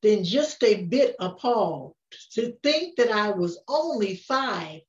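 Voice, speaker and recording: An older woman reading a rhyming children's story aloud, with expressive, unhurried delivery and frequent pauses. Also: mid-range voice. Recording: roomy, distant, dull-sounding microphone.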